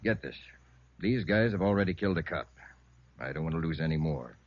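An actor playing a gruff, hard-bitten police chief in 1950s radio drama, giving orders in a terse, authoritative way. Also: male voice, rumbling low, animated, brisk, dry recording. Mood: stern, commanding. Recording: dull old radio recording.

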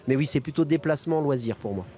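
A man's voice: a little high, animated, fast, mellow, soft background music. A young man speaking casually as an interview guest, hesitant and colloquial, into a handheld microphone outdoors. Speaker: guest freeride skier. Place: outdoors at a snowy ski resort event. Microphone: handheld reporter microphone.

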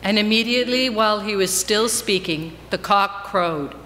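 A woman reads scripture solemnly and deliberately in a bright, forceful voice of middling pitch. Her words ring through a long church reverb, with faint noise behind. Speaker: reader in a church Passion reading. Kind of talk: solemn dramatic scripture reading. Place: church, before a congregation.

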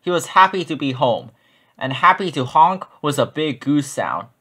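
A man narrating a children's picture book in an expressive read-aloud style. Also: on the high side, moderate pace.

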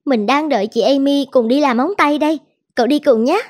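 A woman's voice: high voice, brisk, a little mellow, close to an everyday voice. A woman voicing a sociable, well-off young friend in a cartoon drama, chatty. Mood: friendly, chatty.

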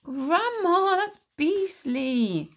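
A woman's voice: high-pitched, huge pitch swings, moderate pace, voice pushed up, full character voice. A woman voicing an eight-year-old boy who pleads and whines at his grandmother. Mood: dismayed. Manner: whining, pleading.

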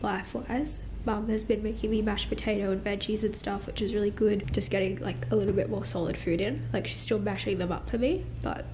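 A woman's voice: medium pitch, steady tone, a little fast, few pauses, a little mellow, slight background noise. A young woman in her twenties with a stuffy, congested nose, chatting frankly to her phone camera at home, filling her talk with "like". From Australia. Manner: chatty, frank, lots of "like" fillers. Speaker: vlogger. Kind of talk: casual vlog chat to camera. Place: home living room and kitchen, indoors. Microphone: phone camera at arm's length.

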